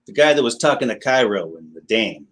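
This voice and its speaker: A man's voice: fairly low pitch, lively intonation, fairly quick, fairly bright tone, a little hiss or hum. An actor playing a sullen henchman of a crime boss in a radio drama. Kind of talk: old-time radio drama acting.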